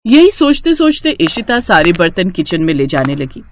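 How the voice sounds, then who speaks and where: A woman's voice: on the low side, very animated, normal speed, a little hiss or hum. A woman narrating a scripted bedtime family story as the storyteller.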